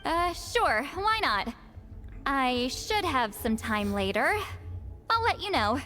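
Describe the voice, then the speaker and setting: A woman's voice: high voice, sing-song, slow pace, bright, forceful tone, exaggerated voice. An actress playing a blunt, proud video game character who is easily flustered, with music behind her. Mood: flustered, embarrassed. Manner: blunt, casual, hesitant when flustered.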